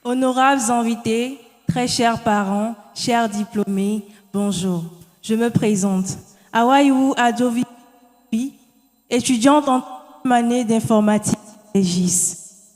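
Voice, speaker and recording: A young woman gives a formal, measured welcome speech as a student at a graduation ceremony. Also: fairly high pitch, darkish tone. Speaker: student speaker. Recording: reverberant hall.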